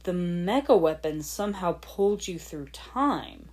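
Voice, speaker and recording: A woman's voice: on the low side, animated, a little mellow. Woman reading a bewildered, firm villain father. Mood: slow, gentle. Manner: firm, slow, puzzled. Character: bewildered evil warlord father, firm and contrary. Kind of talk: fan fiction audiobook dialogue.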